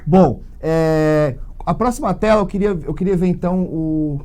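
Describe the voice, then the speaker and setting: A man's voice: fairly high pitch, normal speed. A Brazilian man hosting a casual radio interview, chatty and upbeat in a studio. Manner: chatty, warm laughs, upbeat. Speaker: radio host and English teacher.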